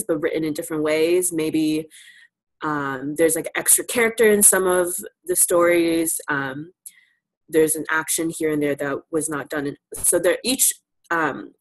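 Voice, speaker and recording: A woman's voice: deep voice, low-quality recording. A woman presenting an informal, interactive lesson to a class, encouraging and affirming as she teaches. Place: live virtual presentation.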